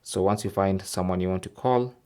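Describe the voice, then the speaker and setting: A man's voice: low-pitched, fairly quick, warm tone, clear, resonant tone. A man presenting an instructional tech tip, explaining step by step and often checking in with the listener. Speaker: tech tutorial host.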